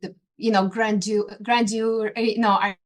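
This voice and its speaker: A woman from Ukraine, an interior designer and artist, talking in an interview with frequent pauses, fillers and restarts. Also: medium pitch, little pitch movement. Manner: hesitant, many fillers and restarts. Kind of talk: conversational interview.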